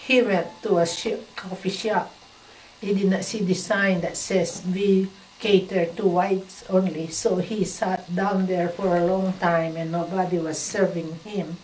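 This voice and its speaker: A woman's voice: on the low side, steady tone, unhurried, darkish tone, recorded from a distance, a little hiss or hum, rough recording. An older woman plainly recalling a story in an interview at home. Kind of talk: interview recollection. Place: living room indoors.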